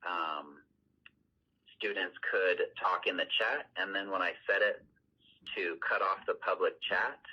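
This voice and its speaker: A man's voice: sits low, measured pace, bright, forceful tone, breathy edge. A man speaking as a teacher, hesitant and placating as he explains himself, over dull lo-fi call audio. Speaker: school teacher. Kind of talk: explanatory conversation.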